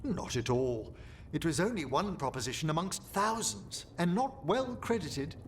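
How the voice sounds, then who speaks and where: Genteel bookish mage guy acting, formal and precise, highish lively voice, game dialogue. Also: moderate pace, a little hiss or hum, natural voice. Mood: scholarly delight. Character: genteel, scholarly gentleman mage. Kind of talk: video game character dialogue.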